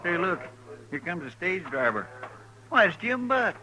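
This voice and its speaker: An actor playing a folksy, plaintive, talkative cowboy sidekick with a rambling drawl, in 1950s radio style. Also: male voice, sits high, sing-song, measured pace, heavily acted. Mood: folksy, chatty. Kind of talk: 1950s radio drama acting. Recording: bright, noisy 1950s radio recording.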